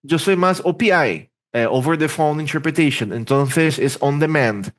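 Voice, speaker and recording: A man from Brazil, a native Portuguese speaker, being interviewed in a relaxed way, thinking aloud with restarts and fillers. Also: on the high side, measured pace. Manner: rambling, self-correcting, thinks aloud with fillers. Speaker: interpreter and language teacher, podcast guest. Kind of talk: casual interview conversation.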